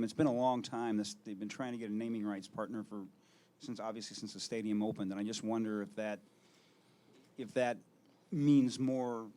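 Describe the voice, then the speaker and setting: Reporter dude asking a long probing question at a presser, mellow dark voice. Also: male voice, on the low side.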